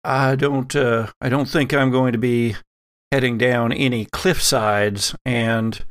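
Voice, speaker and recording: A man acting as game master of a tabletop horror game, speaking with deliberate, suspenseful phrasing. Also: mid-range voice, fairly even intonation, clear, resonant tone. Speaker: game master who also plays a character.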